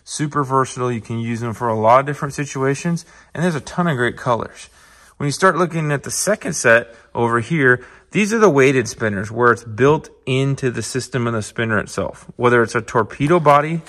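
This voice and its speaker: A man's voice: medium pitch, brisk, sharp tone. A man hosting a fishing show, giving a casual, upbeat tackle tutorial from his shop and talking straight to viewers. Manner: upbeat, conversational, addresses viewers as guys. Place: indoor shop, tabletop demo.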